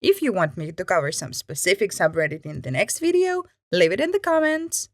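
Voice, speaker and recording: A woman reading Reddit stories aloud with lively, expressive delivery and acted asides. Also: fairly low pitch, fairly quick, no echo, studio quality. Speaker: Reddit story reader.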